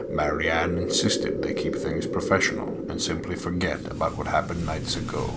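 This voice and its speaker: Man, lowish voice, let's play co-host, casual gameplay banter, reading aloud, clearly noisy recording. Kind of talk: casual joking gameplay chat with read-aloud journal entries.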